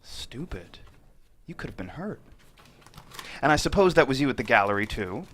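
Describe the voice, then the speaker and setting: A man's voice: medium pitch, big pitch swings, normal speed, fairly bright tone, slight background noise. An actor at a screenplay table read playing a menacing male killer who talks with polite, condescending civility. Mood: smooth, condescending.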